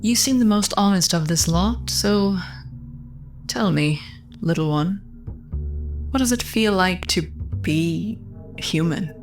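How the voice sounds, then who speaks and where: A woman playing a weary, bitter female android who speaks in a measured, breathy way. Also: lowish voice, faint music behind. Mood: probing curiosity.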